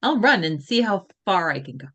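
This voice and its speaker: A woman's voice: medium pitch, very animated, brisk, a bit bright. A woman voicing a determined, impatient teenage girl who answers sharply. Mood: puzzled. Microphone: video call.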